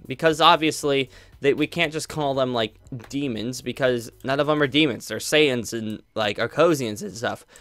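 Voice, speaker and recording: A man's voice: fairly high pitch, fairly bright tone. A young man chatting casually to his viewers, rambling with many fillers and restarts. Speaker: YouTube roleplay creator.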